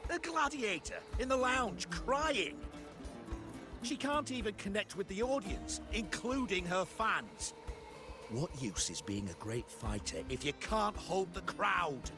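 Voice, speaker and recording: A scornful, money-minded schemer in a video game, with a high voice for a man. He sneers and protests theatrically, his pitch leaping about, over clear background music. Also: sing-song, slow, exaggerated voice.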